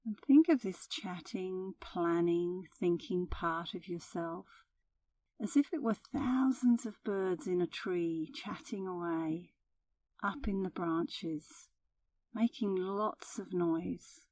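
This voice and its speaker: Female meditation guide: lowish, warm and relaxed voice, unhurried and soothing, gentle instructional narration. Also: recorded from a distance, poor audio.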